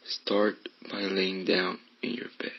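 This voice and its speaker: A man leading a guided meditation in a hushed, breathy voice that often slips toward a whisper. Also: low-pitched, completely flat delivery, slow pace, highs cut off. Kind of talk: guided meditation, soothing instruction.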